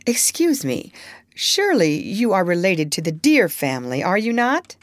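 A woman's voice: lowish voice, wildly swooping pitch, normal speed, no room sound, clean studio sound. A woman voicing a mild, kindly male doctor in a storybook, bemused, courteous and a little hesitant.

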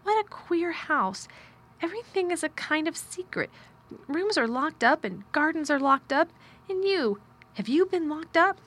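Woman playing a curious young girl, raised pitch. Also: expressive, a little slow, fairly bright tone. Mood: puzzled, curious. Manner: direct, questioning. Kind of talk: audiobook character dialogue.

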